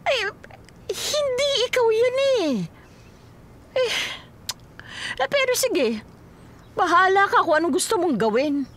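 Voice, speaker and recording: A woman's voice: extremely high pitch, lively intonation, slow pace, long pauses, a bit bright, full character voice. An actress with a Filipino accent playing a meddling, moody older mother-in-law, huffy and exclamatory in radio drama style. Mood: nagging, exasperated.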